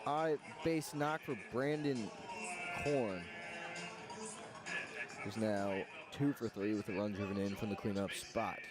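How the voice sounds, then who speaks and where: A man's voice: lowish voice, slow, long pauses, music in the background. Male baseball radio play-by-play announcer. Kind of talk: live baseball play-by-play commentary.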